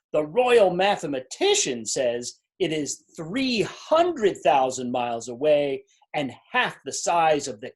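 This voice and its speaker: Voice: medium pitch, husky. A performer playing a worried, irritable storybook king in a readers theater, commanding and emphatic. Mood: puzzled.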